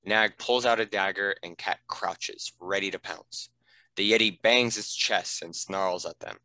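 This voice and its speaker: A man's voice: low voice, a little slow, bright, forceful tone, no echo, low-quality recording. A man giving scripted narration, reading out the stage directions of a screenplay.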